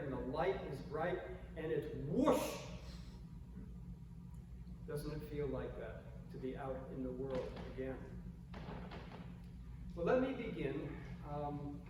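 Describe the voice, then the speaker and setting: A gentle, soft-spoken male pastor with a slightly higher voice than most men, reading scripture and preaching in an unhurried way. He sounds far from the microphone, with a long reverb ringing through a big old church sanctuary. A clear hiss of noise sits under his words. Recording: echoey church hall from far off, noisy.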